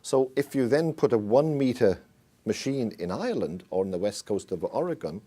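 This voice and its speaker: A man in his sixties from Wales with a Welsh accent, answering interview questions in a relaxed way and repeating words as he starts. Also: lowish voice, moderate pace. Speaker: ocean engineer interviewee. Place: small indoor interview room.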